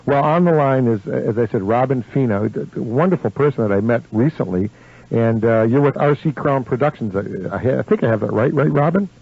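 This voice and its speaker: An effusive man hosts a chatty radio interview. His voice is rough and husky at a middling pitch, heard through a dull AM broadcast. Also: a little fast, dry recording. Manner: effusive, full of enthusiastic compliments. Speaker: radio host. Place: radio studio.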